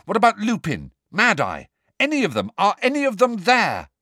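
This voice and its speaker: A man voicing a desperate, angry teenage boy wizard who demands answers by shouting. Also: sits high, wildly swooping pitch, moderate pace, dry recording, clean studio sound, voice pushed up, heavily acted. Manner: shouting, urgent.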